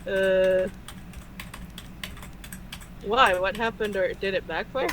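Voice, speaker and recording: A woman's voice: mid-range voice, fairly even intonation, slow. A woman giving casual, joking commentary on a video game playthrough in a playful, teasing manner. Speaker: gameplay commentator. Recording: noisy home gaming recording.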